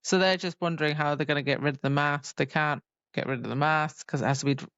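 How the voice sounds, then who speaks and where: A woman's voice: low voice, steady tone, fast talker, fairly bright tone, no echo. A woman co-hosting a book podcast, chatting casually and retelling scenes informally with exclamations and quoted lines. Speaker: podcast co-host. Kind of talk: casual book discussion chat. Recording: dry, slightly dull lo-fi sound.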